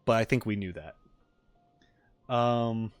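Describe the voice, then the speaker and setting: A man's voice: lowish voice, takes it slowly, clean tone. A man streaming casual reaction commentary on game voice lines, joking and playfully exasperated. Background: faint music.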